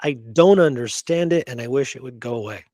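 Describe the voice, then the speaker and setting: Guy hosting a history podcast, dry close sound, opinionated, thinks out loud, asks questions and repeats stuff, just chatting. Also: mid-range voice, animated, brisk, no echo. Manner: opinionated, musing aloud with rhetorical questions and repeats. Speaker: podcast host. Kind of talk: casual history discussion.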